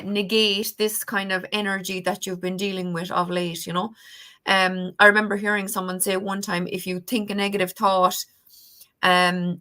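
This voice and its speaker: A woman gives a casual tarot reading in a bright, forward voice of medium pitch. She chats conversationally to viewers and keeps ending thoughts with small tag questions. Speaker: tarot reader.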